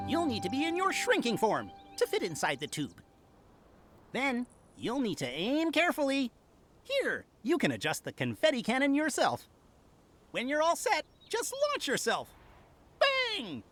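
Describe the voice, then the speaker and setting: A woman voicing a brisk, fussy, matter-of-fact sprite supervisor in a video game, with emphatic delivery. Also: sits high, exaggerated voice. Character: brisk, fussy, dust-hating sprite supervisor in a fantasy world. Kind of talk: animated video game voice acting. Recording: prominent background music. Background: game music.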